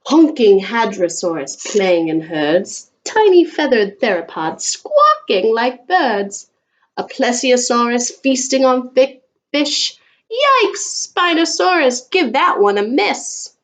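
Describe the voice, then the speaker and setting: A flamboyant storybook shopkeeper , acted out by a woman, proud and showy. He welcomes people with theatrical flair, his pitch lifted a little above everyday talk. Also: very animated, takes it slowly. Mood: showy patter. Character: flamboyant showman dinosaur store owner, a man, grand and proud.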